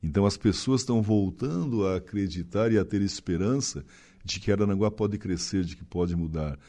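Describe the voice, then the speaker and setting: A man's voice: fairly low pitch, fairly quick, dark tone. A middle-aged man from Santa Catarina with a slight gaúcho accent, speaking at length and earnestly as a city official in an interview, often ending with tag questions. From Santa Catarina, Brazil. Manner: long-winded, earnest, frequent tag questions. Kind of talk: conversational interview.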